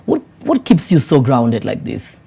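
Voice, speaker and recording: A man hosting a show, giving a hype introduction and then asking questions in an energetic, emphatic way. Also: medium pitch, a little slow, vocal fry, slightly rough. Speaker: host.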